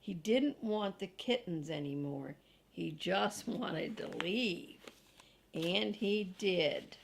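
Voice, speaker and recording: An older woman reads a children's book in a grandmotherly way. Her pace is very unhurried and her voice has a noticeable creak. She speaks deliberately and adds chatty asides for little listeners. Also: lowish voice, a little hiss or hum. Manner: slow, deliberate, grandmotherly read-aloud for young children. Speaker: storybook reader. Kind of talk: reading a children's book aloud with casual asides.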